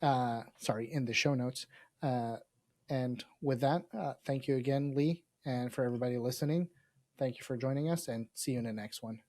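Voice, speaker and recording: A man's voice: mid-range voice, normal speed, darkish tone, dry recording. A man from Lebanon whose first language is Arabic, hosting a casual podcast in a hesitant, wry way. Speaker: podcast host.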